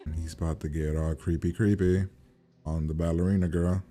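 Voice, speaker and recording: A man's voice: low voice, fairly even intonation, fairly quick, dark tone, faint music behind. A man hosting a reaction video in a casual, blunt way, swearing now and then.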